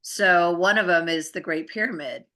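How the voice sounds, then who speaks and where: A woman's voice: on the low side, normal speed, pushed and bright. A middle-aged woman co-host in a casual chat, explaining things in a matter-of-fact way.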